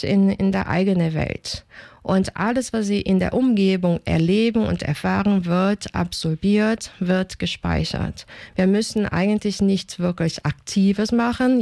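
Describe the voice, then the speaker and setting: A woman's voice: mid-range voice, creaky, mellow, no room sound, studio quality. A middle-aged woman whose first language is Portuguese, speaking German with a Portuguese accent as a linguist explaining things earnestly in a radio interview, with small grammar slips.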